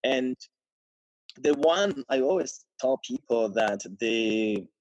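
A man in his thirties, born to Burundian parents, speaking in a relaxed interview and telling his life story with many pauses and restarts. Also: mid-range voice, no room sound. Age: 30s. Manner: reflective, many ums and restarts, laughs often. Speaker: podcast guest. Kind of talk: casual interview conversation. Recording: dry, dull lo-fi sound.

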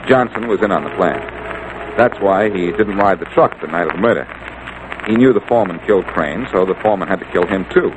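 A man's voice: deep voice, fairly quick, close to an everyday voice. Man acting a wry radio sleuth, noisy recording. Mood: matter-of-fact. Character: wry, confident male amateur sleuth. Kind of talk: 1940s radio drama acting.

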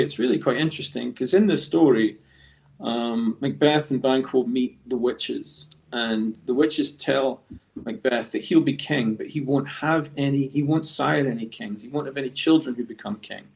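Creaky-voiced man telling stories. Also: mid-range voice. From Scotland. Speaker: guest comic artist. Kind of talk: conversational storytelling in a podcast interview.

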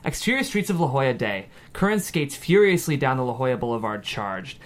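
A man's voice: mid-range voice, sing-song, fairly quick. A man reads scene headings and action lines for a screenplay table read. His voice is mid-range, delivering scripted narration. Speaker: stage directions narrator.

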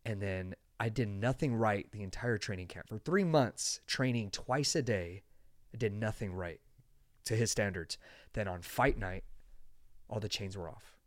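A young man in his late twenties hosts a casual podcast chat. His voice sits a bit low, and the sound is dry and close. He talks earnestly and rambles, often restarting his sentences. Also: unhurried, no echo. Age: 20s. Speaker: podcast host.

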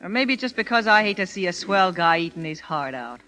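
A streetwise nightclub woman from an old radio crime play. Her voice is bright and clear-cut, with wry, slangy lines on a vintage 1930s broadcast recording. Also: fairly low pitch, animated, brisk. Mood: wistful, fond. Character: fond, worldly, tough-talking female nightclub owner.

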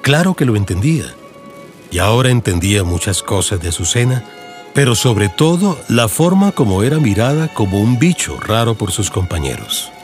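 A man's voice: fairly low pitch, big pitch swings, moderate pace. A man with a Colombian accent giving dramatic, scripted narration for an audiobook in radio soap style. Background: music.

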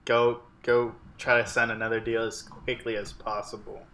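A man's voice: medium pitch, flat delivery, moderate pace, pushed and bright, slight background noise. A man co-hosting a casual movie podcast, talking in a rambling way. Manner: rambling, many fillers and restarts. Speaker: podcast co-host.

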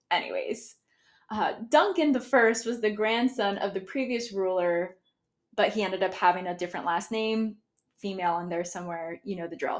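A woman with an American accent hosting a history podcast, explaining casually, correcting herself and often laughing. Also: mid-range voice. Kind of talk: casual educational talk.

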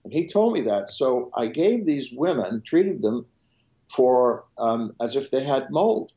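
A man's voice: mid-range voice, big pitch swings, unhurried, mellow. Man with a dull remote line. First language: French. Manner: explanatory, with frequent restarts and trailing lists. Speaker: physician guest. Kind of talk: interview answers on a podcast.

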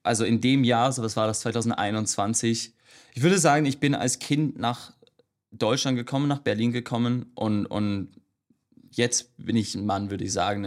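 Hesitant young man, guest coach. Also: fairly low pitch. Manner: hesitant, many restarts and fillers, mixes in English words. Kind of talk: casual interview talk.

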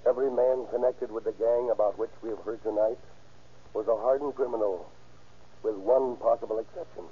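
A man's voice: mid-range voice, fairly even intonation, fairly quick, slightly rough. A man giving a stern, formal scripted address in 1930s radio style, on a tinny old recording. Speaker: guest commentator giving a closing moral.

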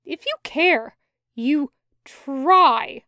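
A woman voicing a furious, hurt woman confronting a friend, with forceful, sweary, accusing outbursts in an audiobook. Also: sits high, expressive, very slow, no room sound, clean studio sound, pitched above the performer's own voice, full character voice. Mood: angry, insistent. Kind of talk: audiobook character acting.

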